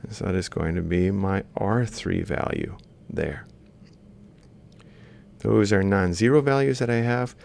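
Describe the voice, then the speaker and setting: Very gravelly low male voice, lecturer, informal step-by-step explanation, thinking aloud. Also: measured pace, long pauses, a little mellow, a little hiss or hum. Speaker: robotics lecturer. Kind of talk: explaining step by step while drawing.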